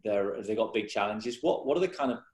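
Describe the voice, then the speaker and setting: A male moderator with a fairly low voice puts questions to a panelist, rambling a little with fillers. His voice echoes noticeably, as if from a bare room. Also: expressive, fairly quick, a little mellow. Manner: informal, filler-heavy questions. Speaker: webinar moderator.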